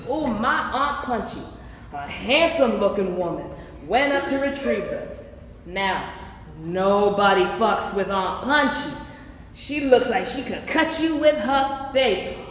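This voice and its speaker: A woman's voice: mid-range voice, animated, takes it slowly. A woman performing a brash, foul-mouthed comic friend telling family stories in a live staged play reading. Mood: gossipy, comic. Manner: theatrical, exclamatory comic storytelling. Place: live stage in a reverberant hall. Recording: echoey hall, distant ambience, faint noise.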